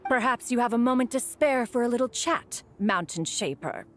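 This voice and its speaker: A woman's voice: fairly high pitch, lively intonation, normal speed, slight background noise, natural voice. A woman playing a haughty, imperious immortal in a game, stately and pointed in an archaic way. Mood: pointed, menacing. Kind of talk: scripted game voice acting.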